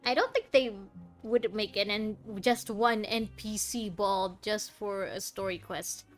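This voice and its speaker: A woman's voice: mid-range voice, steady tone, measured pace, soft background music. A woman giving casual, rambling live commentary as she plays a video game. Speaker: game streamer.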